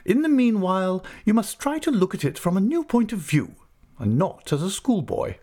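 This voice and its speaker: A man reading an audiobook, voicing a brisk, stern but fond elderly aunt in a raised, emphatic voice. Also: sing-song, dark tone. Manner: emphatic, decisive, high-pitched and commanding. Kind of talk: audiobook character voice.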